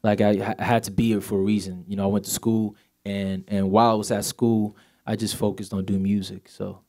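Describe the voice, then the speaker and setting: A man's voice: on the low side, level tone, brisk, darkish tone. A man in his twenties from Sweden, Swedish native speaker with a light accent, chatting casually as a singer guest, laid-back and full of "you know". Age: 20s. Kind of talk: casual interview chat.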